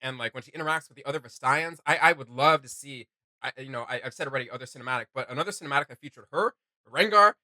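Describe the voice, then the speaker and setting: A man's voice: mid-range voice, racing, bright, forceful tone, dry recording. A man hosting a reaction video, chatting casually and enthusiastically in rambling fan commentary.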